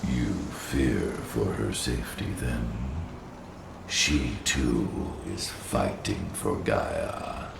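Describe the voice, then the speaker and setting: A man playing an ancient nature spirit in a video game, a solemn, benevolent guide speaking formally and deliberately in a gravelly, echoing voice. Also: noisy background, exaggerated voice. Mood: solemn reassurance. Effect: deep echoing reverb. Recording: echoing, distant voice under music.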